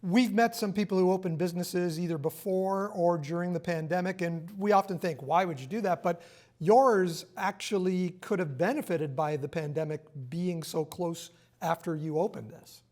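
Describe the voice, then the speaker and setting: A man's voice: sits high, moderate pace, darkish tone. A middle-aged man hosting a broadcast interview in a TV studio, partly scripted. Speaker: TV interview host.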